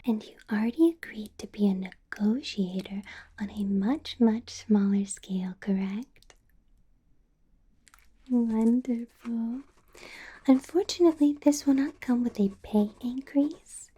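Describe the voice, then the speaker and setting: A woman voicing a doting, possessive vampire boss in an ASMR roleplay, in a soft breathy whisper with a coaxing, controlling sweetness. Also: on the high side, measured pace. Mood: sweetly bossy. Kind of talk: ASMR roleplay, close whispered personal attention.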